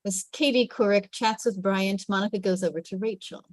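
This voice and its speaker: A woman's voice: medium pitch, fairly even intonation, moderate pace, no echo. A woman reading stage directions as scripted narration in a table read.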